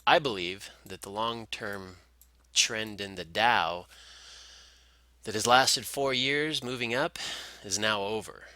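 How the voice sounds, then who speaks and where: A man's voice: lowish voice, slow pace, bright, forceful tone, dry recording. A man giving informal, explanatory screen-share commentary as a market analyst, talking through charts step by step.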